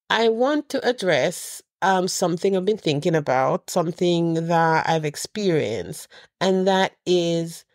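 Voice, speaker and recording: A woman's voice: on the low side, a little mellow, dry recording, studio quality. A middle-aged woman hosting her podcast alone, sounding a bit nasal from a cold, speaking earnestly and encouragingly to her listeners. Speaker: podcast host. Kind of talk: heartfelt solo address to listeners.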